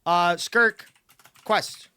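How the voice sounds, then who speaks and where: A woman's voice: lowish voice, drawn-out words, sharp tone, smooth. A young American woman streaming a game, chatting casually and playfully in slang as she reacts to the story and her chat. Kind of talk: casual livestream commentary. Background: game music.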